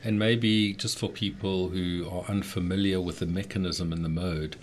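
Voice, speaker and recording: A man's voice: low-pitched, steady tone, normal speed, a little hiss or hum. A man, the company's managing director, joining a studio podcast interview and asking the guest questions in a direct, conversational way. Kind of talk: interview chat.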